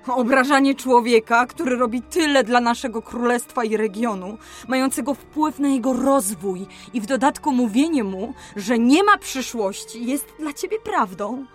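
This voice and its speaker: A woman's voice: on the high side, moderate pace, few pauses, faint music behind, close to an everyday voice. An actress playing a spirited, outspoken young woman in a historical radio drama, with a direct, articulate way of talking. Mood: indignant.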